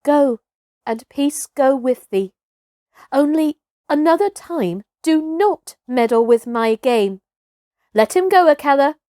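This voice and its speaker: A woman's voice: high voice, animated, slow pace, warm tone, no echo, clean studio sound, voice pushed up. A woman voicing a bold, scornful young herdsboy raised by wolves, with archaic, commanding speech in a storybook reading.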